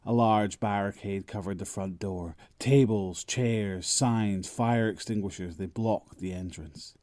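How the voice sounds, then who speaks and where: A man narrates a dramatic scripted story. His voice is fairly low and soft in tone, recorded dry with no room sound. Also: measured pace, no echo.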